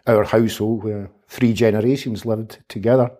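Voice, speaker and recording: A man, a humanitarian aid worker giving measured, thoughtful answers as an interview guest. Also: lowish voice, steady tone, mellow. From Scotland. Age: 50s. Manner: measured, thoughtful, qualifies his words. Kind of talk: reflective interview conversation.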